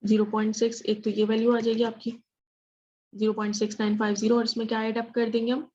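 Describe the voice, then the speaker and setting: A female teacher leads an interactive lesson with little pitch movement. She keeps putting questions and checking that people follow, switching into English phrases. The audio is slightly dull and lo-fi. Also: completely flat delivery, fast talker, a little mellow, a little hiss or hum. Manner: questioning, checks understanding often; mixes English phrases into speech. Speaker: statistics teacher. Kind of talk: interactive online math lesson.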